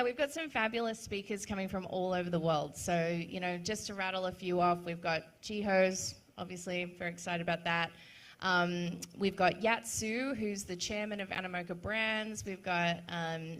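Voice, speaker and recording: Australian woman, event organizer on a panel, casual and chatty, mid-pitched. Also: a bit bright. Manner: chatty, frequent ums, upbeat.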